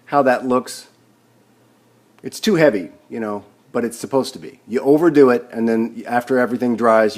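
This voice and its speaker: A man thinking aloud in an unhurried way as he gives a casual hands-on tutorial at a workbench. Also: lowish voice, a little mellow. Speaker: hobbyist demonstrating a restoration. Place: indoor home workshop. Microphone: camera on the workbench, speaker off-screen. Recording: noisy camera audio, background noise.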